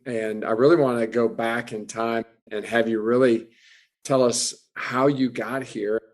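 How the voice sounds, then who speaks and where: Mid-pitched man hosting an interview. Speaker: interviewer, host. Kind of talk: conversational interview questioning.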